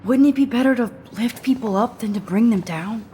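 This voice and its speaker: A woman voicing an earnest teenage photography student in a video game, soft and often half-whispered. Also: a little high, brisk, slight background noise, natural voice. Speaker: game protagonist.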